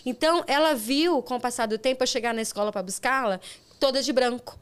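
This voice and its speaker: A woman's voice: fairly high pitch, a little fast, clear, resonant tone. A middle-aged Brazilian woman with a Brazilian Portuguese accent, hosting a podcast and telling a personal story earnestly, with frequent restarts. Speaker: podcast host. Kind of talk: casual interview chat.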